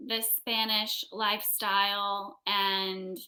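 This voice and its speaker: A woman's voice: medium pitch, level tone, slow, pushed and bright, poor audio. A young American woman tutoring English in a casual one-on-one conversation, phrasing things simply for a learner. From United States.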